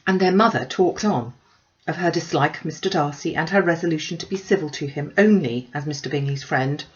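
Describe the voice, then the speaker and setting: A woman's voice: sits low, highs cut off. A woman narrating a classic novel as an audiobook reader, in scripted storytelling style.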